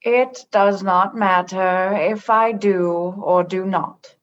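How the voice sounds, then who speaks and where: Woman playing a slow, measured, stern alien ruler. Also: medium pitch, fairly even intonation, highs cut off, close to an everyday voice. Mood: stern, formal. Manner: formal, measured, literal, unbending. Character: stern, cryptic alien religious and political leader. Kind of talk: sci-fi comedy radio acting.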